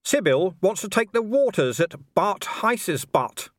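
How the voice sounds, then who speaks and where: A man's voice: high-pitched, big pitch swings, measured pace, fairly bright tone, no echo, pitched above the performer's own voice, exaggerated voice. Man voicing a sardonic weary copper. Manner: dry, mock-innocent sarcasm. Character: weary, blunt, sardonic police commander man.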